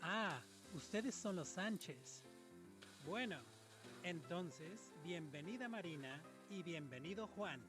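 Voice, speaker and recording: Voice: medium pitch, expressive, measured pace, music in the background, close to an everyday voice. A welcoming neighbor, voiced by a recorded speaker in a lesson clip. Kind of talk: clear scripted lesson dialogue.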